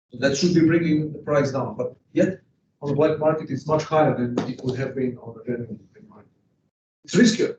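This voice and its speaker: A man's voice: a little high, mellow, a little hiss or hum. A man from Serbia, giving an economics lecture in an emphatic way and posing rhetorical questions to the class. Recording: distant, echoey, dull classroom recording.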